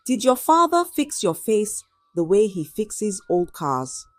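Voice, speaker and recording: A woman's voice: mid-range voice, big pitch swings, slow, dry recording, faint music behind. Lady doing a mean rich kid bully in a folktale, mocking n sneery, really swoopy. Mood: mocking taunts. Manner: mocking, taunting.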